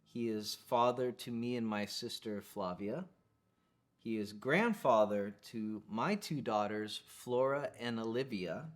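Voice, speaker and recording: A young man hosting a podcast, speaking softly and respectfully as he introduces and questions his guest. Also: mid-range voice, unhurried. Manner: soft-spoken, warmly respectful. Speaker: podcast host, interviewing his father. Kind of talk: podcast interview.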